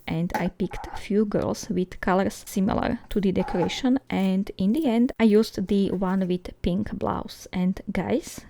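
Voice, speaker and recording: A woman's voice: mid-range voice, gravelly, warm tone, no echo. A woman narrating a journaling vlog in a chatty, giggly way, often laughing lightly between sentences. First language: Slovak. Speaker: journaling YouTuber. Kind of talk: voice-over narration of a craft video.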